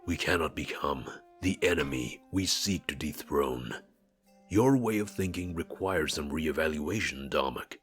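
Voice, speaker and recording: A man voicing a stern, aloof non-human war advisor in a visual novel game, speaking formally and with measured gravity. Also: very deep voice, fairly bright tone, soft background music. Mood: grave, reproving. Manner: formal, measured, solemn speech without contractions.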